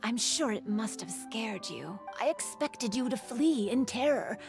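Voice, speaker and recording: Slow, soft, wistful spirit girl. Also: a little high, expressive, over music. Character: gentle, wistful female yokai spirit of festival paddles. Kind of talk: scripted video game voice acting.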